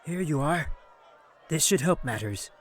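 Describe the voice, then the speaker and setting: A man's voice: on the high side, animated, a little slow, a faint crowd, pitched above the performer's own voice. Man voicing a kindly, weary fantasy dwarf. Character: red-bearded male dwarf, kindly, weary, devout. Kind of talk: fantasy audiobook character voice.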